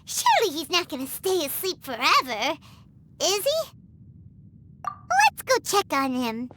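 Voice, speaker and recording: Squeaky tiny fairy girl. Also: wildly swooping pitch, unhurried, noisy background, heavily acted. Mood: cheeky, curious. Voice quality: squeaky, childlike. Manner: speaks of herself in third person, exclamatory. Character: Paimon, tiny childlike floating fairy girl companion, cheeky. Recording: music behind the voice.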